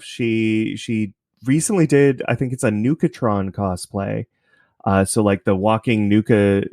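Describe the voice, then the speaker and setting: A man's voice: medium pitch, darkish tone, no room sound, clean studio sound. A man from Canada chatting casually as a podcast guest. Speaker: podcast guest, audio drama creator. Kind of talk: casual interview chat.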